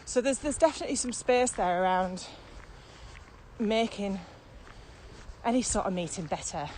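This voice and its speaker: A woman talks casually as a podcast co-host, in a conversational style. Her voice sits at an average pitch, with some ambient noise faintly behind it. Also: normal speed, rough recording. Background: steady ambient noise.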